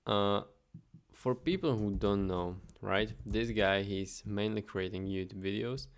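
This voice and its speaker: Gravelly low-voiced man chatting casually. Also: unhurried. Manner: relaxed, chatty, thinks aloud with fillers. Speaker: automation agency owner vlogging. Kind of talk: casual screen-share vlog.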